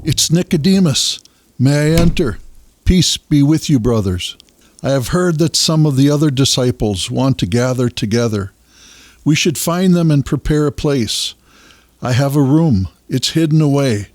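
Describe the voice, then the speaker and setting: Man as gentle grave bible scholar, mid male voice, measured and reflective, dry studio sound, audio drama. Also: mid-range voice, animated, no room sound, close to an everyday voice. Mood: calm.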